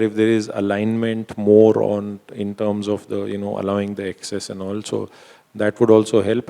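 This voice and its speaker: Low gravelly panelist. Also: male voice, warm tone. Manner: hesitant, filler-heavy speech. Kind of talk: panel discussion. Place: conference hall.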